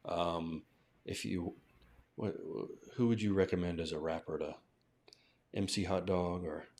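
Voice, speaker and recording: Very deep-voiced American man. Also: steady tone, measured pace, darkish tone. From southern United States. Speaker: language teacher hosting a livestream. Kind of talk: casual live-stream discussion.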